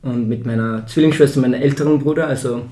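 A man's voice: mid-range voice, fast, mellow. A young man, a social worker chatting as a podcast guest in reflective, winding sentences full of fillers. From born in Israel, raised in Austria. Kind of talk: casual conversation. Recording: roomy echo.

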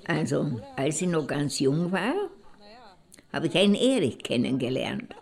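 A woman's voice: sits low, expressive, a little slow, vocal fry, a little mellow, heavily acted. A woman playing an older, jilted woman in an audio drama, rambling in a wistful, resigned way.

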